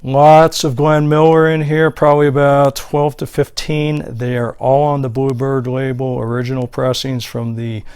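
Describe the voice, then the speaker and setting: A man's voice: mid-range voice, little pitch movement, normal speed, few pauses, darkish tone, clear, resonant tone, no room sound. A man giving a casual, unscripted show-and-tell narration as a collector, reading out items one by one. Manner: unscripted, listing titles and labels item by item. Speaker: record collector presenting his finds.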